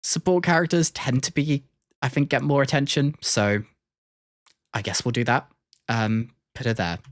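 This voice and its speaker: A man's voice: mid-range voice, normal speed, no room sound. Hesitant man streaming, dry close sound. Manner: hesitant, musing aloud. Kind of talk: casual livestream reaction commentary.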